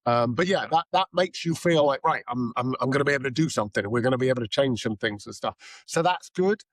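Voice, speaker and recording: A hoarse man with a cold co-hosting a tech podcast, chatting in a rambling, self-deprecating way. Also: medium pitch, animated, fast talker, no room sound. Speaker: podcast co-host. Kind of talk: casual podcast chat.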